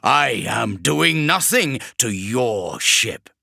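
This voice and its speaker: A man voicing a sinister, mocking male demon held in chains, speaking slowly with cold irony in an audiobook reading. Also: mid-range voice, expressive, gravelly, sharp tone, no room sound, clean studio sound, pitched above the performer's own voice, exaggerated voice. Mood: ironic menacing. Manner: slow, ironic, menacing.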